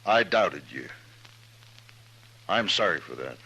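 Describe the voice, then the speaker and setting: An American actor playing a gruff, proud, plain-spoken rancher in 1950s radio drama, slow and deliberate. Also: male voice, deep voice, sing-song, pushed and bright, full character voice. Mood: grave, contrite. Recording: noisy old radio drama recording.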